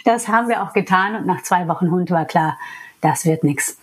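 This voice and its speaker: A woman's voice: on the low side, moderate pace, husky. A woman from New York, a native English speaker, speaking German and talking frankly and reflectively about her life in an interview. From New York, USA. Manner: frank, reflective, laughs easily. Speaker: interview guest. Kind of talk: candid personal interview.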